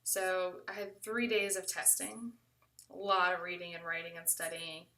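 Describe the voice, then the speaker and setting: American woman, bright forward voice, conversational first-person storyteller, recorded a little away from the mic. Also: on the low side, level tone, normal speed, far from the mic.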